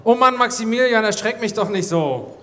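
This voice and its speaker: A man's voice: high-pitched, lively intonation, fairly bright tone. A man voicing an easily annoyed, exasperated boy detective in a comic live radio play. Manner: fast, exasperated. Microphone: handheld microphone. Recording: live stage with audience noise.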